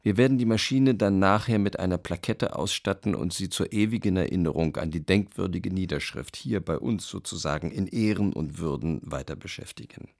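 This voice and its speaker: An actor playing a genial, effusive German publisher of the 1930s in a radio play, reading letters with chatty, old-fashioned courtesy. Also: male voice, deep voice, fairly quick, gravelly, few pauses, darkish tone. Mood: genial, eager.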